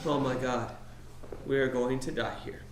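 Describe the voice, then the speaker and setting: A man's voice: mid-range voice, flat delivery, measured pace, crowd noise, natural voice. A man playing an anxious, timid young sidekick in a comedy script reading, fretful and complaining. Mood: nervous. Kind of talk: comedy script table read. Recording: echoey room, noisy background, clicks.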